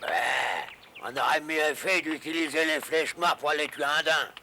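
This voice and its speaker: Sharp, grumbling outlaw. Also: male voice, on the high side, measured pace, a little hiss or hum, heavily acted. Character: grumbling forest outlaw henchman. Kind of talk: French cartoon dub acting.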